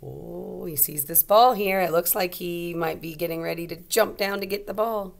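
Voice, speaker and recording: Female teacher, slightly low register, children's read-aloud lesson, simple explanations and frequent questions. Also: moderate pace, vocal fry.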